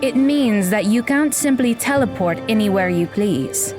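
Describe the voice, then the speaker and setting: An actress playing a blunt, aloof young magical mentor who talks in a dry, deadpan way in an audio drama. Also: female voice, medium pitch, measured pace, lightly acted. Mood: instructive. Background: background music.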